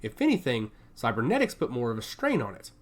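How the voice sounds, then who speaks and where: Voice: medium pitch, wildly swooping pitch, quick pace. A narrator voicing a cocky male soldier, wry and blunt, in a science fiction audio story. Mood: alert, matter-of-fact.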